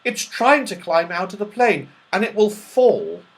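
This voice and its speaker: A man's voice: high-pitched, sing-song, a little slow, voice pushed up. A man voicing an anxious, caring young girl in a children's storybook, fretful and pleading. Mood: alarmed.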